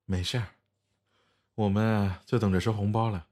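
Deep-voiced, easygoing young man played by an actor. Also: fairly even intonation, normal speed, darkish tone, lightly acted. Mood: smug, cheerful. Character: easygoing, smitten young male cafe worker. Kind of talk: Chinese audio drama acting.

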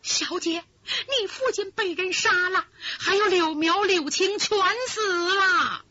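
A woman plays a young maidservant in a spoken storytelling piece, crying out urgently. The voice is very high and bright, swinging widely. The recording sounds dull and lo-fi. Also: very animated, unhurried, no echo, full character voice. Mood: frantic. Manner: breathless, urgent cries. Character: frantic young maidservant, quick and alarmed.

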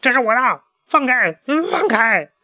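A man's voice: fairly even intonation, brisk, dry recording, close to an everyday voice. A father doing a frantic little chicken boy. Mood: panicked. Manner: high, frantic, shouting. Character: chubby, jumpy young chicken boy.

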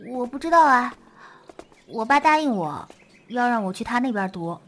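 Woman acting high-voiced, jealous, hurt schoolgirl. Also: very animated, normal speed, a bit bright. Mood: hesitant, wistful. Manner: emotional, dramatic delivery. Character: jealous, possessive schoolgirl hurt by her closest friend. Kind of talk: Chinese audio drama acting.

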